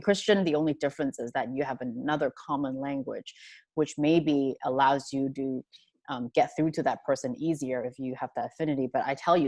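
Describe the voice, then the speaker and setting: Panelist: woman from hong kong, low voice, dry close sound, earnest and thoughtful. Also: darkish tone, no echo. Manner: earnest, thoughtful, many fillers and restarts. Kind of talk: panel discussion answer.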